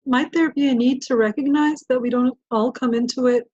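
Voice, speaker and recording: A woman in a workshop discussion who raises a point in a measured way, framing it as a question. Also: high voice, steady tone, a little mellow, no room sound, lo-fi sound. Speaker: workshop participant.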